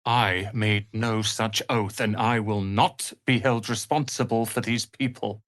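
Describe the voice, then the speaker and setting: A man's voice: on the low side, steady tone, measured pace, a bit bright, no room sound, clean studio sound. A man playing a proud young wizard's apprentice in a fantasy video game, speaking in a pompous, articulate, theatrical manner. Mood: haughty, irritated.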